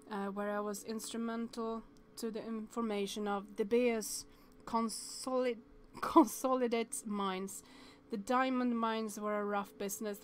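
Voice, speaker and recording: A woman's voice: medium pitch, a little hiss or hum. A woman streamer reading a visual novel aloud, stumbling over words and chatting to viewers between passages.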